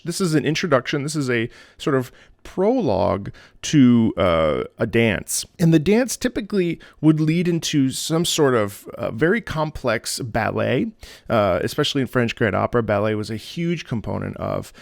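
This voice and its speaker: A man's voice: mid-range voice, very animated, normal speed, creaky, dry recording, studio quality. A man hosting a music reaction video, an opera singer who talks enthusiastically and sings phrases along with the music. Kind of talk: casual music analysis.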